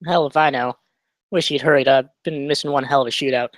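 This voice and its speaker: A man playing a gruff, hockey-obsessed uncle in his fifties in a table read, blunt and distracted. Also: mid-range voice, steady tone, a little fast, no echo, close to an everyday voice. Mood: gruff, impatient. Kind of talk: live screenplay table read.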